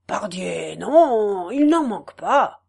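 A woman voicing a slow, ponderous male peasant farmer with deliberate rustic speech. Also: mid-range voice, very animated, vocal fry, darkish tone, husky, heavily acted.

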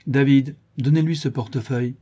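A stern, solemn male avenger from a storybook, voiced by a man reading aloud. He gives imperious orders and judgements at a raised pitch, with a mellow, slightly husky timbre. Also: steady tone, normal speed, natural voice. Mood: commanding.

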